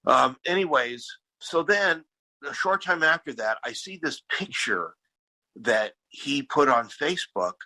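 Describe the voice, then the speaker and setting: A man's voice: medium pitch, expressive, unhurried, sharp tone, dry recording, rough recording. A man who is losing his voice, telling stories in casual podcast chat with many restarts and repeats. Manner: rambling storyteller with many restarts. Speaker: podcast guest, band frontman.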